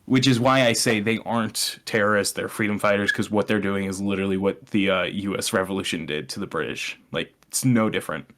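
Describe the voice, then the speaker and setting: A man's voice: lowish voice, a little fast, few pauses, a bit bright. A young man chatting casually as a podcast co-host, explaining his opinions in a sardonic way and swearing freely.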